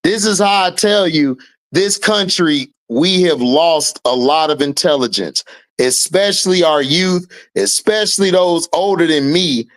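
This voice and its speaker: Blunt, slow-talking man ranting on stream. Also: a little high. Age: 30s. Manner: blunt and heated, swearing freely, rising loudly when riled. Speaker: host of an online sports and commentary stream. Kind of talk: casual opinionated commentary, reacting to chat.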